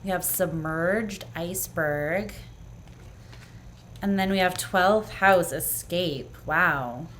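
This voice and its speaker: A woman with a fairly low voice gives a tarot reading straight to the viewer. She talks in an earnest, reassuring way, full of "like" and "um". Her voice is going hoarse, so she keeps clearing her throat. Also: slow pace, a bit bright, a little hiss or hum. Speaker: tarot reader. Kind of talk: pick-a-card tarot reading, speaking to the viewer.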